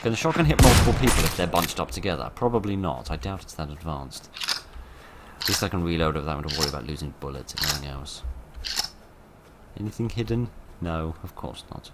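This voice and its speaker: A man commentating his horror game playthrough in a chatty, wry way, with a voice that is getting hoarse. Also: rumbling low, lively intonation, fast, heavy background noise. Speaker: gaming commentator. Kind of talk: let's play commentary.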